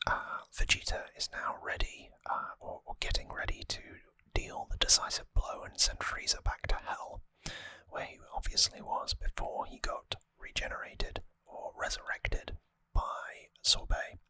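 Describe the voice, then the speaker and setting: ASMR narrator: fully whispered, breathy, informal and explanatory, close-miked with no room sound. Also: measured pace, no echo. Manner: soft-spoken, informal, explanatory. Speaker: ASMR host. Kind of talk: ASMR whispered story recap. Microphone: close microphone, dry.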